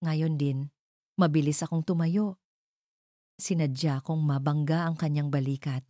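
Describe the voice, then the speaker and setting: A woman narrating a scripted first-person drama in measured, suspenseful phrases. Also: low voice, steady tone, warm tone, no room sound, studio quality. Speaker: story narrator.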